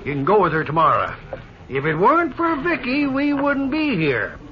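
An actor playing a hearty, talkative sheriff in a 1950s radio western. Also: male voice, high-pitched, huge pitch swings, moderate pace, crowd noise. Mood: surprised, friendly. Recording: dull 1950s radio recording.

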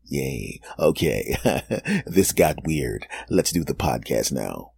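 A man in his fifties hosting a solo podcast, rambling and joking, laughing at his own jokes and dropping into low asides. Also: big pitch swings, slow pace, vocal fry, a little mellow, breathy edge, no echo. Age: 50s. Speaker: podcast host. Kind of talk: solo comedic podcast monologue.

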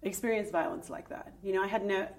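A middle-aged woman speaks as an interview guest, candid and searching, often pausing on fillers and restarting her sentences. Her voice sits a little back from the microphone. Her pitch stays in a mid range. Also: fairly even intonation. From Ireland. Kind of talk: personal story in an interview. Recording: voice somewhat distant from the mic.

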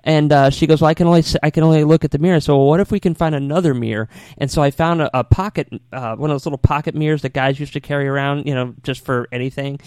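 A man's voice: fairly high pitch, fairly even intonation, fast, few pauses, a little mellow, smooth, no echo. A man hosting a casual radio interview, talking in a rambling, conversational way.

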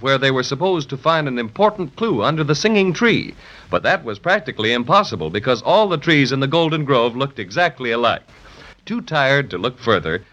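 A man's voice: mid-range voice, sharp tone. A man narrating a children's serial in scripted 1930s radio storytelling style. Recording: muffled old 1930s radio recording.

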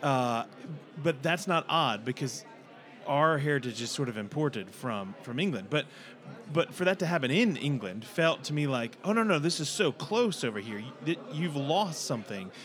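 A man hosting a discussion, searching for words with many pauses and fillers. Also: a little high, lively intonation, a bit bright. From United States. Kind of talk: casual discussion.

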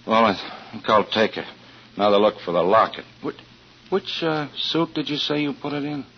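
An actor playing a suspicious, uneasy husband and father who narrates in a tense, halting, deliberate way in 1940s radio style. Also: mid-range voice, animated, breathy edge. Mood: probing, uneasy. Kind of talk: 1940s radio acting with first-person narration. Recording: dull vintage 1940s radio with music.

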